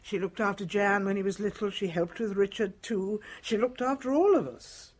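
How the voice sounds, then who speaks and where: A woman's voice: mid-range voice, moderate pace, lightly acted. An actress playing a composed, frank elderly mother in a radio drama, formal and reserved. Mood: composed, fond. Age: older.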